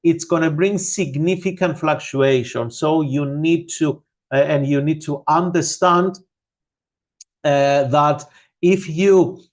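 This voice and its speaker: A man with a Greek accent giving live market analysis and answering viewer questions, speaking loosely with many fillers. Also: on the high side. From Greece. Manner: unhurried, many fillers, addresses viewers as guys. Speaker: forex analyst hosting a live stream.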